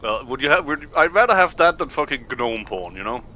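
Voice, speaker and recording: A man's voice: mid-range voice, very animated, brisk, sharp tone, a little hiss or hum. A man chatting casually as co-commentator on a game playthrough, rambling and repeating his words as he goes. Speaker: gaming stream co-commentator.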